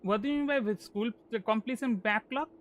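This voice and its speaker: A man casually commentating a game on a live stream, halting and often repeating his words mid-sentence. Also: extremely high pitch, a bit bright.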